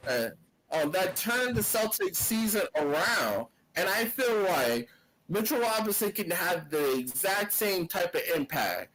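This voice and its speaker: A man co-hosting a sports podcast, talking in a casual, animated way and often restarting his phrases. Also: high-pitched, low-quality recording.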